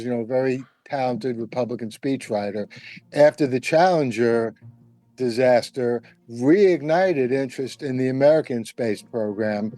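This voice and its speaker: A man's voice: mid-range voice, warm tone, no room sound. A man giving opinions as a guest in a casual roundtable discussion, with emphatic asides.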